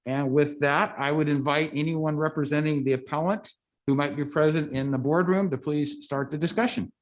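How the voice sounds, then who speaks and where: A man chairing a county board hearing, explaining the procedure slowly with frequent fillers. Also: a little high, steady tone. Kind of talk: procedural meeting chair remarks.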